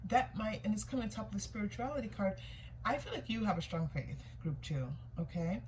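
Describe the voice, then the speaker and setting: A woman with a mid-pitched voice hosts a tarot reading in a casual, conversational style. She muses aloud as she goes, circling back over phrases and asking herself questions. Background noise is audible behind her. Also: expressive, brisk, a little mellow, low-quality recording. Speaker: tarot reader hosting a reading. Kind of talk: casual intuitive card reading, thinking aloud. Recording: clear background noise, room ambience.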